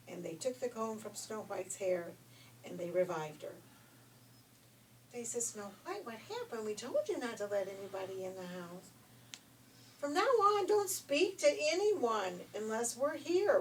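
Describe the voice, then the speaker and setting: An older woman, a grandmother telling a fairy tale informally in a conversational, folksy, emphatic way. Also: on the high side. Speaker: grandmother storyteller. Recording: distant mic, faint background noise.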